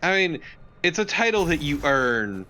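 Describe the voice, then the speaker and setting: A man's voice: a little high, big pitch swings, measured pace, sharp tone, slight background noise, rough recording. A man bantering casually as a co-commentator over gameplay. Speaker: let's play co-commentator.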